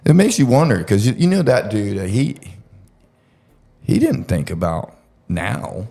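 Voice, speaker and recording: A man's voice: on the low side, sing-song, unhurried, creaky, dark tone. A man chatting casually about true crime in a blunt, profane way.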